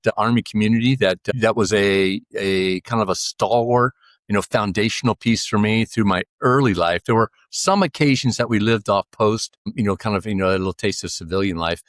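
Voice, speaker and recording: A man's voice: lowish voice, normal speed, no room sound, clean studio sound. A man in his sixties, a podcast guest, talking casually and rambling with many fillers and restarts. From United States. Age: 60s. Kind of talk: casual interview conversation.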